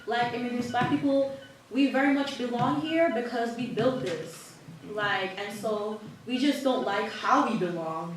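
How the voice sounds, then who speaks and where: A woman on a discussion panel, talking in a thoughtful, halting, casual way. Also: medium pitch, slight background noise. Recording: echoey hall from far off.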